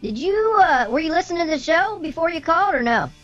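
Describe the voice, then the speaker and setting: A high, pitch-shifted voice of a cheeky comic show sidekick. The delivery is blunt and stammering, in the loose banter of a comedy talk show. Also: male voice, lively intonation, quick pace, fairly bright tone, dull audio, faint music behind. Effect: pitch shifter raising the voice. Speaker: co-host.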